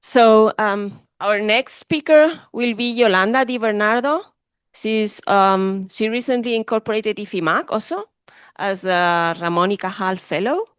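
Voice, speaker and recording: Lady running a conference session, talks slow, clear voice. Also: mid-range voice, no room sound. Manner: slow, hesitant with fillers. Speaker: session chair.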